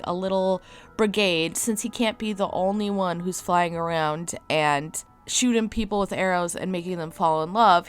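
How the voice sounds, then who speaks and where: A woman's voice: mid-range voice, a bit bright, smooth. Congested woman chatting casually. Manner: chatty and rambling, many likes and self-corrections. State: congested from a cold. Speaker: artist and channel host. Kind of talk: casual voice-over for a speed draw.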